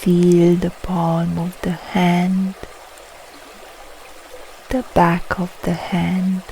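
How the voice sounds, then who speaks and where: Very slow, mellow woman guiding meditation. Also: lowish voice, completely flat delivery, gravelly, slight background noise, poor audio. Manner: soothing, unhurried, soft short phrases with long pauses. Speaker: yoga nidra meditation guide. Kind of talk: slow guided relaxation meditation.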